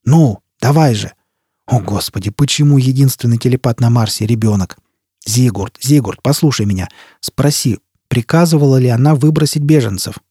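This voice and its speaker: A man's voice: medium pitch, moderate pace, dark tone, breathy edge, no echo, studio quality, lightly acted. A man voicing an enraged, desperate, vengeful man in an audiobook, with a harsh, commanding, embittered manner. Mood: furious demanding. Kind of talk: audiobook character acting.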